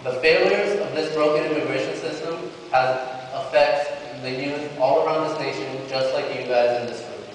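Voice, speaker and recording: A man's voice: fairly high pitch, moderate pace. A man giving a prepared speech to an audience as a presenter. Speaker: presenter at a student assembly. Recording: echoey hall, distant mic, faint noise.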